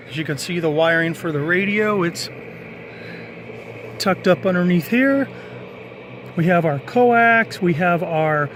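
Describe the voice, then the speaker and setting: Higher-pitched man in a casual hands-on walkthrough. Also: a bit bright, a little hiss or hum. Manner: unscripted, matter-of-fact explaining. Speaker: hobbyist presenter showing a radio installation.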